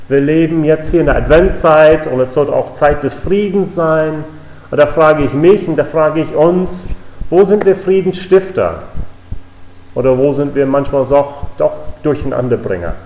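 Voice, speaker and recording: A man's voice: on the high side, expressive, moderate pace, darkish tone. A man from the United States preaching an earnest, emphatic sermon in a church, with repeated declarations. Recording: echoey hall, voice a bit distant.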